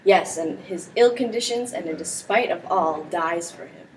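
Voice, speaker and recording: A woman's voice: on the low side, normal speed, close to an everyday voice. A teenage girl playing a young, credulous count in an amateur Shakespeare performance. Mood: teasing. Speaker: student performer. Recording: distant mic with background noise.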